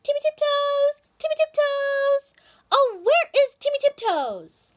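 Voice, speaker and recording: A woman's voice: very high voice, big pitch swings, slow, voice pushed up, heavily acted. A woman voicing an anxious squirrel wife from a storybook in a plaintive, calling manner. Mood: distressed calling. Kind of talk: storybook character voice.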